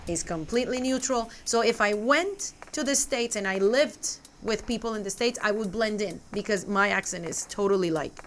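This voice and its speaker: A woman's voice: mid-range voice, normal speed, hardly any pauses, a little hiss or hum. A woman from Colombia, an English teacher appearing as a guest, talking confidently and repeating points for emphasis. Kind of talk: casual interview chat.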